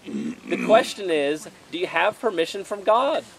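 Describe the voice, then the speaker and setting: A man's voice: sits high, lively intonation, measured pace, gravelly, a little hiss or hum, poor audio. Man preaching outdoors under a tent. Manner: reads passages aloud then preaches conversationally. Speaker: pastor. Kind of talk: outdoor sermon and Bible study. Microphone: camera at a distance.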